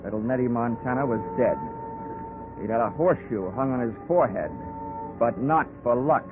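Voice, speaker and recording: An actor playing a tough, wisecracking private investigator with a dry, hard-boiled 1940s radio delivery. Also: male voice, medium pitch, normal speed, music in the background, lightly acted. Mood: grim narrating. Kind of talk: 1940s radio acting with scripted narration. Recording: narrow-band old radio recording.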